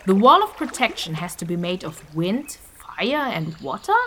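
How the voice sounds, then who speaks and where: A woman's voice: on the low side, sing-song, moderate pace, a bit bright, slight background noise. A woman playing a curious, conciliatory young companion in a fantasy audio drama, friendly and questioning.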